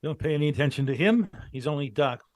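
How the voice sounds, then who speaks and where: An actor playing a brisk, no-nonsense American leader of adventurers in a vintage radio drama, speaking in a clipped, insistent way. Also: male voice, a little high, animated, a little mellow, no room sound, natural voice.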